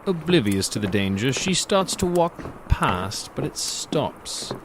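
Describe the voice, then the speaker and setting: An actor playing a gloating predatory monster who narrates a dark fantasy audio drama in a theatrical, savoring, sardonic manner. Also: male voice, mid-range voice, big pitch swings, takes it slowly, a little hiss or hum. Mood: dark, mocking.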